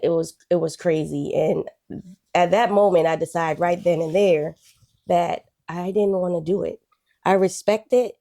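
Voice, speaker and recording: A woman in her forties from Guyana, a guest telling her life story in a relaxed, chatty way. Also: on the low side, vocal fry. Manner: relaxed, chatty, with frequent "um" and "you know".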